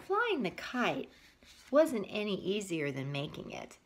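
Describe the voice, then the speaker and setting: A woman teacher reading a picture book aloud to young children, expressively, with breathy asides and questions to her listeners. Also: mid-range voice, huge pitch swings, measured pace, slight background noise.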